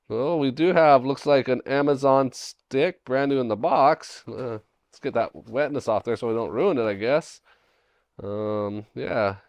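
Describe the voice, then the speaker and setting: A middle-aged man with a hoarse voice after a cold, hosting a casual unboxing vlog and rambling aloud as he digs through a storage locker, recorded on a chest-mounted camera in a dim indoor room. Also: mid-range voice, no room sound. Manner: rambling, thinking aloud while digging through items. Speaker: storage locker reseller, channel host.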